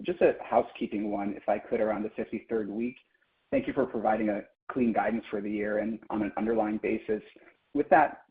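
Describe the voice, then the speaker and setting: Analyst guy phoning in questions on an earnings call, lowish voice, tinny line. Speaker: financial analyst caller. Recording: tinny narrow phone line.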